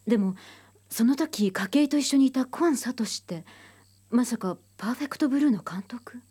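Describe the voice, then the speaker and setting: Dark, husky, composed woman psychologist. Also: a little high, lively intonation, normal speed. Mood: probing, grave. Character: composed, analytical female psychology expert. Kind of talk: radio drama acting.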